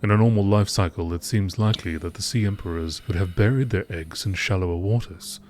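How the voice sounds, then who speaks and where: A man reading scripted narration in a nature documentary style, in a measured, formal manner. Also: low-pitched, a little mellow, slight background noise. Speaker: narrator reading game text.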